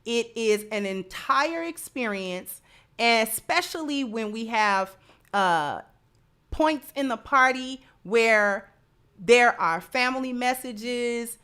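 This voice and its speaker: A woman's voice: on the high side, fairly bright tone. An American woman hosting a live commentary show, speaking emphatically and repeating phrases to drive them home. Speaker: show host. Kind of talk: live commentary and sponsor read.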